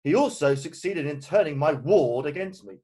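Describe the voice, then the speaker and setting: Casting: a man as a stiff, proper gentleman guardian for a play reading; fairly high voice, deliberate and earnest. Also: expressive, lightly acted. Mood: indignant. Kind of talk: amateur play read-through.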